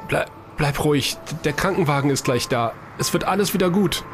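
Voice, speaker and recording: A man's voice: a little high, fairly quick, over music, natural voice. An actor playing a blunt, wary man in an amateur science fiction audio drama. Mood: panicked, desperate. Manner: suspicious, blunt. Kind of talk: hobby sci-fi audio drama acting.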